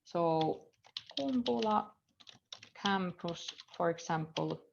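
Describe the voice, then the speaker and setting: A woman with a Finnish accent teaches from her computer, her lowish voice carrying a gravelly fry. She thinks aloud as she codes, trailing off and filling pauses. The sound is dull and lo-fi, like a webcam mic. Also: background noise. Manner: thinking aloud, hesitant fillers, trailing off while reading code. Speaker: university lecturer. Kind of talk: live coding screencast lesson. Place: indoor room at a computer.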